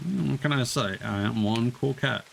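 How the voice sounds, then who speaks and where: A man streaming a video game, chatting along in a relaxed, joking way and laughing often. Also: mid-range voice, moderate pace, vocal fry, a little hiss or hum. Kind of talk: casual gameplay commentary.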